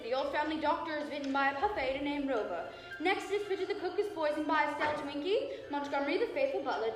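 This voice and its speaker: High voiced spooky radio mystery host woman acting, dramatic narration, distant and echoey w music. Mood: grimly droll. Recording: echoey hall from far off, loud music.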